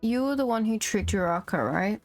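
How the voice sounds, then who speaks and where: A woman's voice: mid-range voice, lively intonation, moderate pace, faint music behind. A woman game streamer, reading dialogue aloud and talking to her stream.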